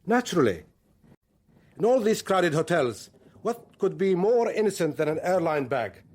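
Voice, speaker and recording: A militant commander in a radio thriller, with a fairly high male voice. He probes and gives orders with smug, condescending authority and quiet menace. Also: sing-song, normal speed. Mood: smug, boastful. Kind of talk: radio drama acting.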